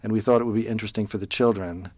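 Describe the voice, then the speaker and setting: A middle-aged man who works as a translator, giving thoughtful interview answers and pausing now and then to find a word. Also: fairly low pitch, steady tone, no echo. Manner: thoughtful, pausing to search for words. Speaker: translator, former diplomatic interpreter.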